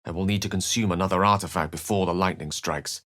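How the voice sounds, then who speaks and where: A man's voice: low-pitched, steady tone, fast talker, fairly bright tone, no echo, clean studio sound. A man acting an eloquent, cultured wizard in a video game, earnest and wry, with articulate, ornate phrasing.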